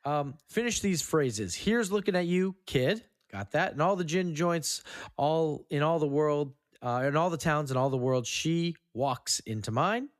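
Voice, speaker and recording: Guy hosting a movie podcast, casual n sweary, really lively pitch, dry sound. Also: unhurried, no room sound. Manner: conversational, casually profane. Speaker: podcast host. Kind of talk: casual film podcast chat.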